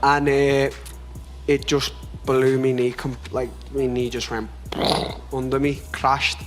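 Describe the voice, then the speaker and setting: A man's voice: mid-range voice, flat delivery, slow pace, music in the background. A man, a professional fighter, talking casually after a bout. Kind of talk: casual post-fight talk.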